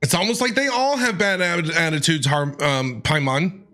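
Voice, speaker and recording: A man's voice: a little high, moderate pace, sharp tone. A man giving casual, playful reaction commentary as he streams a game, responding aloud to the story. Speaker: gaming streamer. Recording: game music behind.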